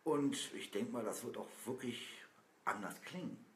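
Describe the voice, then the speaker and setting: A man's voice: medium pitch, normal speed, fairly bright tone. A man chatting casually on a live stream from a sofa, talking in loose, rambling sentences with many fillers. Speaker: band member. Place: small indoor room, seated on a sofa. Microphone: camera a few feet away. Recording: roomy with faint noise.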